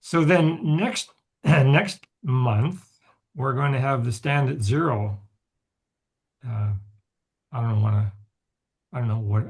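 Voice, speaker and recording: A man with a raspy voice who hosts a group video call. He rambles casually, restarting often. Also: mid-range voice. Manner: rambling, with many restarts and chuckles. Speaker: host and mythologist.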